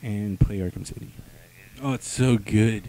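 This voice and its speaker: A man's voice: fairly low pitch, unhurried, creaky, warm tone, slight background noise, poor audio. A man hosting a casual comic review chat with friends, talking in slangy, profane banter and slipping into mock voices. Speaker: host of a comic book review show.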